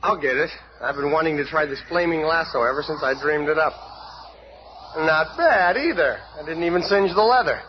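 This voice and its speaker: Young flame hero, male actor: high voice, breezy, boastful radio drama delivery, muffled recording. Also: moderate pace, slight background noise, lightly acted. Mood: showing off. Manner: breezy, showing off. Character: cocky, playful young flame-powered superhero. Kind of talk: 1970s radio drama acting.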